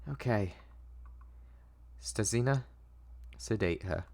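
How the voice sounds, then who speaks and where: A man's voice: lowish voice, animated, slow pace, creaky, darkish tone, no echo, full character voice. A man playing a controlling, obsessive old male doctor who coaxes and soothes in a patronizing way, in a dramatic script reading. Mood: curt.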